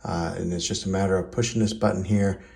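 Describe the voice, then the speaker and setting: A man with a fairly low voice talks through a product in a casual, conversational review style. He explains each step plainly, as a presenter would. The sound is roomy and clearly echoey. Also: flat delivery, fast talker, darkish tone.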